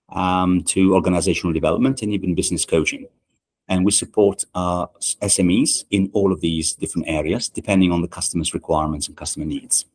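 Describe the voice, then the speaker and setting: Deep-voiced man, halting and measured. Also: a little mellow. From Italy. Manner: measured, with many "uh" and "um" pauses and restarts. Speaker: management consultant and guest. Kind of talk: podcast interview answers. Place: podcast studio.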